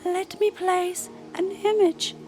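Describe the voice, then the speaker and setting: Woman as a very high, slow, solemn moon spirit. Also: little pitch movement, a little mellow. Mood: solemn, instructing. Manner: formal, measured, instructing. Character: gentle, solemn celestial moon spirit, elder sister. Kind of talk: fantasy audio drama acting. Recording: music bed under voice.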